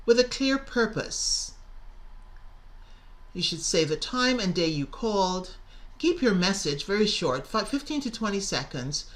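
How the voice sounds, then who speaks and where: A middle-aged woman teacher narrating a scripted lesson, enunciating carefully and stressing key words. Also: fairly low pitch. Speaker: English teacher and presenter.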